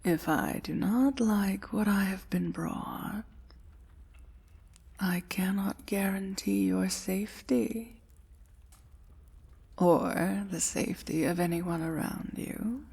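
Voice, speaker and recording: A woman playing a domineering, dangerous dragon queen in a soft-spoken fantasy ASMR roleplay, breathy and often whispering, with formal, imperious diction. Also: mid-range voice, takes it slowly, pauses often, exaggerated voice. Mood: cold, demanding.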